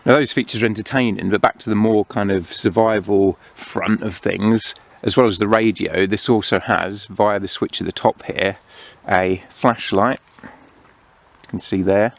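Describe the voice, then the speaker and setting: A man giving a casual, unhurried hands-on product review, explaining each feature as he goes. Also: lowish voice, fairly even intonation. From United Kingdom. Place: outdoors among greenery. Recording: clear outdoor background noise.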